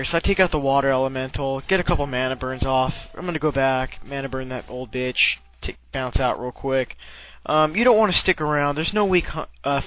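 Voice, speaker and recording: Bright-voiced man doing casual game commentary. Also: on the high side, low-quality recording. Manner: rambling, profane, jokey slang.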